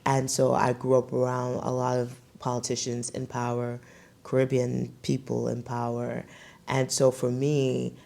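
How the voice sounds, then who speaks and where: A young woman from Brooklyn hosting a podcast, telling stories in a loose, animated way with lots of fillers. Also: a bass voice, measured pace, gravelly. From Brooklyn, New York. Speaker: podcast host. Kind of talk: casual podcast chat.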